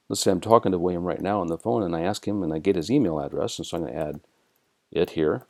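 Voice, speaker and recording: An American man narrating an instructional software screencast in a methodical, step-by-step way. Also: deep voice, gravelly. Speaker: software tutorial presenter.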